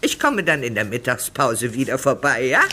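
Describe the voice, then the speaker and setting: A woman's voice: extremely low pitch, very animated, normal speed, sharp tone, slight background noise, exaggerated voice. An actress playing a doting, cheery older mother who fusses and coaxes in an audio drama. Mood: fussing, coaxing.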